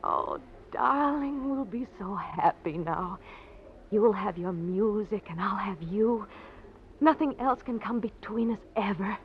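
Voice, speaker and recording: A woman playing a devoted, anxious young wife in an old-time radio drama, with halting, emotional delivery. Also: medium pitch, lively intonation. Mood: eager, loving. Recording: dull, noisy old radio recording.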